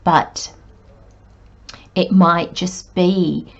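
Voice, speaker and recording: A woman tarot reader with a croaky voice, gently talking to her viewers in a slow, meandering way with many pauses. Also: on the low side, steady tone, highs cut off. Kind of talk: spiritual talk to camera.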